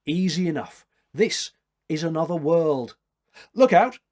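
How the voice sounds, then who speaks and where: A man's voice: fairly high pitch, lively intonation, measured pace, darkish tone, voice pushed up. Guy doing a young storybook gent, dry and polite, awkward, voice jumps around a lot. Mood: flustered. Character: lanky young gentleman, polite, wry, awkward.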